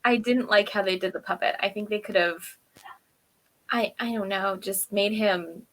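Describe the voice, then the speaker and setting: A woman's voice: medium pitch, normal speed, a bit bright, rough recording. A woman co-hosting a review show in casual chat, telling stories in an informal, self-deprecating way. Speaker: podcast co-host reviewing TV episodes.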